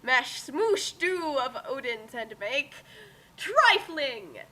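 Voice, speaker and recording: A woman's voice: high voice, big pitch swings, extremely slow, sharp tone. A woman on an online group call, hosting a dramatic fanfic reading that mixes theatrical delivery with casual chat.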